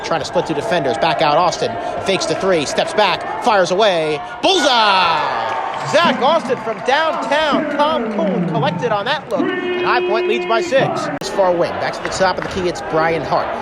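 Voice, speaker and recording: A man doing live radio play-by-play of basketball, with excitable, drawn-out calls on big shots. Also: high-pitched. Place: indoor college basketball arena. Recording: loud arena noise and crowd behind.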